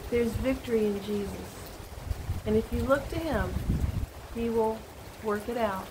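An older woman giving a gentle spoken devotional, earnest and unhurried, recorded close on a phone indoors with rain falling outside. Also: a little high. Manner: slow, soft and earnest, with pauses. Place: indoors, small room by a door, rain outside. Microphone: phone camera at close range. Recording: steady rain noise behind.

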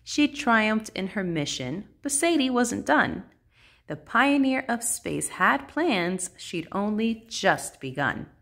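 A woman reading a rhyming children's picture book aloud in a measured, sing-song, expressive way. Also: medium pitch.

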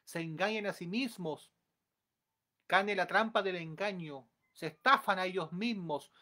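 A man in his thirties preaching an earnest, exhorting sermon to a webcam in a small room at home, building to forceful pleas. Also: sits high. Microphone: webcam at close range.

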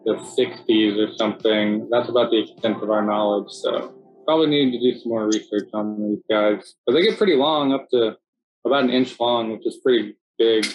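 A middle-aged man, presenting a slideshow in a relaxed, chatty way full of "so yeah" and "um", speaking over a video call from home. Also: on the low side, moderate pace, soft background music, poor audio. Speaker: city cave biologist presenting. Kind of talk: casual narrated slideshow talk.

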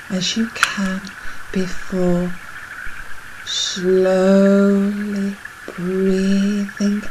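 A woman leading a guided breathing meditation in a soothing, measured, soft and breathy voice that nears a whisper at times. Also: mid-range voice, slight background noise, poor audio. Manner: soothing, measured, unhurried instruction.

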